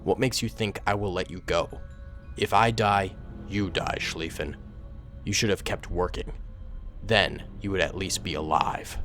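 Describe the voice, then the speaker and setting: Cold villainous space warlord, a man acting, deep, subdued, irritated, grumbling, fan story with music. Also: measured pace. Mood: irritated, menacing. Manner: low, flat, grumbling threats. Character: cold, menacing male Sith warlord, weary and irritated.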